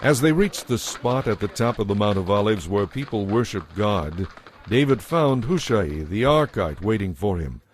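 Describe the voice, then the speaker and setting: A man's voice: lowish voice, expressive, moderate pace, a little hiss or hum. A man narrating a dramatized Bible story from a script.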